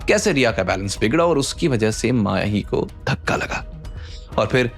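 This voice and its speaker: A man's voice: lowish voice, expressive, normal speed. A man narrating a scripted drama story with suspenseful, emphatic delivery. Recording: background music under the voice.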